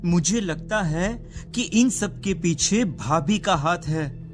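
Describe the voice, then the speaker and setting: An actor playing an anxious, suspicious younger brother in a cartoon drama, urgent and insistent. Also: male voice, high voice, expressive, takes it slowly. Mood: urgent, worried. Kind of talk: dramatic cartoon story acting. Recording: music behind the voice.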